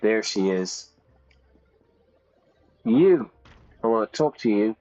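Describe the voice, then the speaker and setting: A man's voice: lowish voice, animated, takes it slowly, a little mellow, muffled recording. A man giving casual, playful commentary to viewers as he plays a game, thinking aloud. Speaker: live streamer and English teacher.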